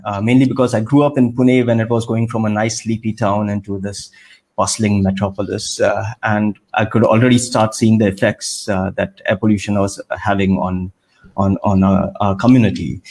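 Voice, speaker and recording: A man's voice: lowish voice, a little mellow. Man explaining science on a video call. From India. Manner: measured, explanatory, with frequent "uh" fillers. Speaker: guest scientist. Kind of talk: interview answers.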